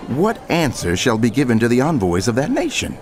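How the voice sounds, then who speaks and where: An actor playing a prophet narrator, solemn and declamatory, in dramatic scripture narration. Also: male voice, mid-range voice, huge pitch swings, a little fast, slight background noise. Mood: solemn declaiming. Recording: music under the voice.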